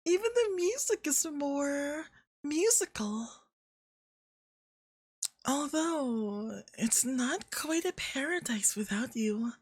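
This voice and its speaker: Casting: a woman as a vain, flirty androgynous deity for ASMR roleplay, high and very animated, smugly possessive. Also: no echo, clean studio sound. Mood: doting, coaxing. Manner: unhurried, smug, theatrical, doting.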